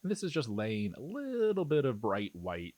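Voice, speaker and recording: A man's voice: mid-range voice, huge pitch swings, normal speed, a little mellow, no room sound. A man giving a casual hobby tutorial as an instructor, explaining things in an easygoing, reassuring way. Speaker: miniature painting instructor.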